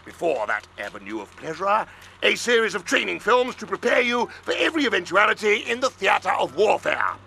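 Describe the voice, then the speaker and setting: Furious bellowing pig drill sergeant, high-pitched. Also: male voice, big pitch swings, brisk, creaky, pushed and bright, a little hiss or hum, full character voice. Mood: stern, commanding. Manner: bellowing, barking, menacing, theatrical. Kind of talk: video game cartoon military briefing.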